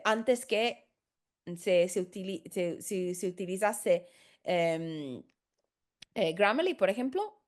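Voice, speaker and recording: A woman who is not a native Spanish speaker, a consultant explaining in a tutorial, hesitant with many fillers and restarts. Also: on the high side. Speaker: senior professional services consultant.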